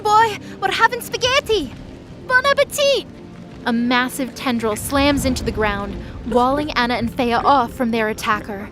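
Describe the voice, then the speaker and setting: A woman reading scripted dramatic story narration as the narrator of a fantasy audio drama. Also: on the high side.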